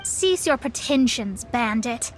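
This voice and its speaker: A woman's voice: on the high side, lively intonation, slow pace, slight background noise. An actress playing a proud, theatrical young female astrologist in a video game, with pompous, formal diction. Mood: cold, accusing.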